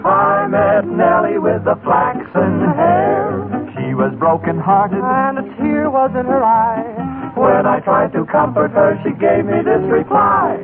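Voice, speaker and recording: Men singing an old-time song as a vocal group on a 1940s radio show. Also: male voice, extremely high pitch, wildly swooping pitch, slow pace. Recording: tinny 1940s radio recording with loud music.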